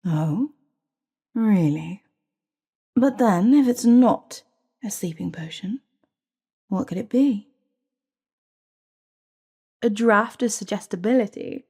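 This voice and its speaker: Woman doing an evil fantasy advisor sorceress, slow smug taunting, low-lit mellow tone, breathy n whispery, very theatrical. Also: expressive, heavily acted. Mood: sly, amused. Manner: theatrical, mock-courteous, taunting, drawn-out phrasing. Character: scheming evil royal advisor, silky, smug, menacing sorceress. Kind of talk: dramatic fantasy audio roleplay.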